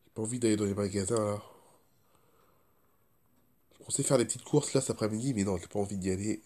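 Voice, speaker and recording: A man's voice: lowish voice, fast talker, dark tone. A man chatting casually as a vlogger, stuffed up with a cold and sore throat, rambling and repeating himself. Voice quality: stuffy-nosed voice. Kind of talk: casual first-person vlog chat.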